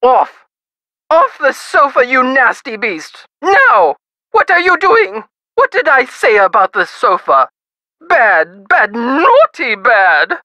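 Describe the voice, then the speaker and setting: An actress voicing a haughty, fussy rich society matron in a video game, with theatrical, imperious grand diction. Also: female voice, sits high, huge pitch swings, unhurried, bright, forceful tone, dry recording, full character voice. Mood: scolding.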